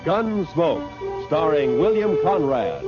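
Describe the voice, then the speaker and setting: Dramatic American male announcer, old-time radio read, higher register, loud musical backing, dull fidelity. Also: very animated, slow, loud music behind the voice.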